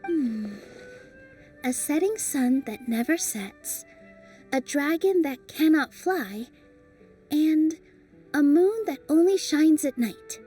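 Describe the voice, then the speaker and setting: A gentle young dancer with a high, light voice who speaks softly and earnestly, full of encouragement. Faint game music hums beneath her lines. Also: lively intonation, slow, pauses often. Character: gentle hopeful young female dancer-mage. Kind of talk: video game cutscene dubbing.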